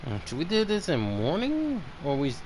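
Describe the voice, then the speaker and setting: A man's voice: on the high side, wildly swooping pitch, normal speed, a little mellow, slight background noise. A man hosting a casual game live stream, thinking aloud in a loose, rambling way.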